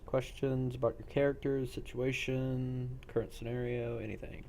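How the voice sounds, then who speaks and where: A man's voice: medium pitch, level tone, normal speed, creaky, a little mellow. A man speaking casually as he runs a post-game chat for a roleplay group. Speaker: game master of an online tabletop-style roleplay group.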